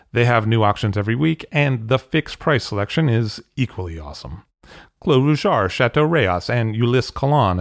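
A man's voice: fairly low pitch, no echo, clean studio sound. A man hosting a podcast, reading an ad script evenly and asking interview questions. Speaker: podcast host.

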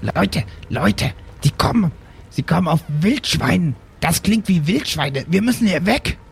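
A man's voice: fairly high pitch, expressive, a little slow, vocal fry, slightly rough, heavily acted. A man playing a small, nearsighted, worried hermit adventurer who argues back, in an improvised fantasy tabletop audio game. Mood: alarmed.

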